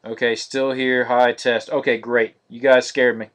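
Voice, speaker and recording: Male card-break host, mid pitch, flat steady delivery, live-stream feel. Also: a little slow. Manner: monotonous, steady listing of cards.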